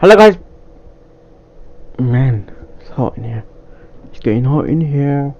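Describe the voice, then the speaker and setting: A man hosting a casual, unscripted reaction video, speaking haltingly and often stumbling, repeating and restarting his phrases. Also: medium pitch, expressive, narrow, tinny audio, a little hiss or hum.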